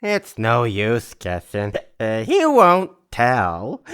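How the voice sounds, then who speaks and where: An actor playing a boozy, chummy, boastful socialite in an old-movie style audio drama, rambling and slangy. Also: male voice, mid-range voice, wildly swooping pitch, drawn-out words, a bit bright, smooth, full character voice.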